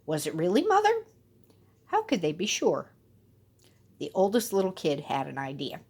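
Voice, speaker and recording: A woman's voice: lowish voice, wildly swooping pitch, normal speed, a little mellow, husky. A woman telling a children's fairy tale expressively, with dramatic and playful emphasis. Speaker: storyteller.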